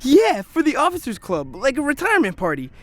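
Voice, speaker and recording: An actor playing a cocky, scheming teenage boy in a radio drama, brash, slangy and excitable. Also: very high voice, fairly quick, no room sound, full character voice. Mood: excited, insistent. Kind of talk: naturalistic radio drama acting.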